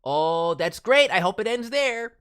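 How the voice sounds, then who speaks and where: A man's voice: high-pitched, expressive, unhurried, fairly bright tone, raised voice, slight background noise. A man speaking as a voice director, giving casual, enthusiastic and encouraging critique. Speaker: voice director reviewing demo reels.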